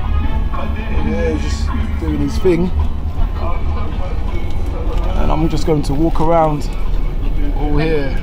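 A man talks to a camera held at arm's length as he rides a coach. His voice sits high, and he is chatty and friendly, often repeating words. Loud music plays behind him. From England. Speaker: travel vlogger. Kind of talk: casual travel vlog. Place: inside a moving coach. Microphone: handheld camera at arm's length. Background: loud music and road noise.

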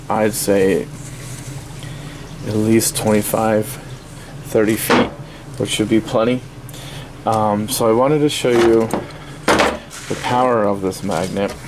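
A man giving a casual, halting, offhand unboxing review at a desk in a small indoor workspace. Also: fairly low pitch. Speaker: product reviewer. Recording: steady noisy room ambience.

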